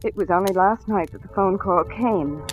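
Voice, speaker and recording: An actress playing an anxious, earnest young wife in a 1940s radio drama. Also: female voice, mid-range voice, normal speed, soft background music, close to an everyday voice. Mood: subdued, recounting. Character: anxious young wife protective of her husband, a judge's daughter. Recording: hissy old 1940s radio recording.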